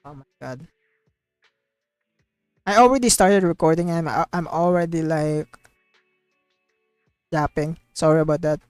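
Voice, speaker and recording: A woman's voice: sits low, unhurried, pauses often, a little mellow, clear, resonant tone, no room sound, faint music behind. A woman livestreaming a game, chatting casually in a rambling, repetitive, informal way.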